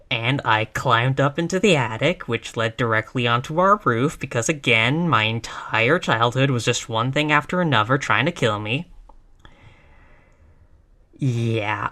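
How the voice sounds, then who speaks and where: A woman's voice: a bass voice, fairly bright tone. A woman playing a tough, reckless girlfriend in a roleplay audio, brash but affectionate, with casual, sweary bravado. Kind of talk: intimate roleplay audio acting.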